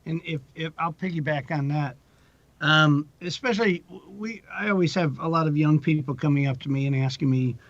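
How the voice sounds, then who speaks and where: A man's voice: on the high side, normal speed, no room sound. A man of about sixty giving casual advice as a podcast guest, rambling and self-deprecating, often repeating his words. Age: 60s. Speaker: podcast guest, actor and producer. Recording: dull lo-fi audio.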